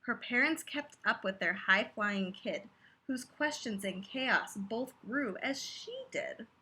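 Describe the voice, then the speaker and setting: A woman reading a children's picture book aloud expressively, pausing to chat to her young listeners about the story. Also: a little high, slightly rough, poor audio. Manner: animated, pauses to comment and ask listeners questions.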